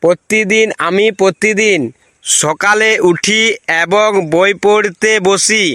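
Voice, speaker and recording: Easily amazed cartoon headmaster played by a man, unusually high voice, dry sound, effusive, rural West Bengal accent. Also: fairly even intonation, takes it slowly, pushed and bright, dry recording, exaggerated voice. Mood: delighted. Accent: rural West Bengal (Bankura). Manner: exclamatory, repetitive, effusive. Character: gushing, easily amazed visiting male headmaster. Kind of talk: comic cartoon voice acting.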